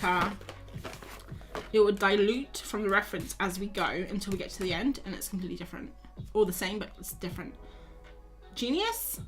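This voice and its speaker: A woman's voice: medium pitch, a bit bright. Woman, young, chatty art streamer, slightly worn voice, faint music behind. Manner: chatty, self-mocking, talks to viewers while drawing. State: tired, losing her voice. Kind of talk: casual live-stream chat while painting.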